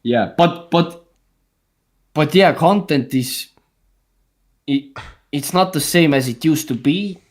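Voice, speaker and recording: A man's voice: on the high side, takes it slowly, long pauses. A man, a guest on a group video call, talking bluntly and swearing freely as he rambles through stories. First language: Estonian. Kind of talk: casual chat on a group call.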